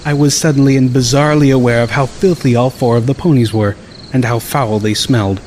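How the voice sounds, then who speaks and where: A man's voice: mid-range voice, steady tone, a little fast, darkish tone, a little hiss or hum. A man reading a first-person story as an audiobook narrator.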